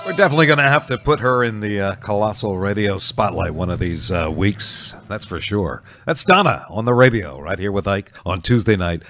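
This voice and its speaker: A man hosting an oldies radio show, chatting casually and folksily between songs with frequent pauses. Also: fairly low pitch. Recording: music playing under the voice.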